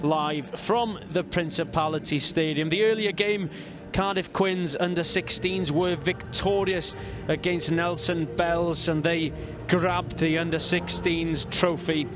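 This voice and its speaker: A man from North Wales with a North Welsh accent, calling a rugby match as live commentator in a measured, steady way. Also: on the high side, crowd noise. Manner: measured, reads out team lines steadily.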